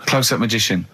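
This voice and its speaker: A man's voice: mid-range voice, sharp tone, slight background noise. A man chatting casually as a radio host, cutting in with jokes and repeating himself. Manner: interjecting, joking, repeats himself. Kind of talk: casual comic chat. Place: radio studio.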